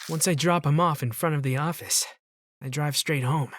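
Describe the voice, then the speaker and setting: A man's voice: fairly high pitch, normal speed, a bit bright, no room sound, natural voice. A man playing a weary, wry teenage boy who narrates his night in a visual novel fan dub, dry and matter-of-fact. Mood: tired, matter-of-fact.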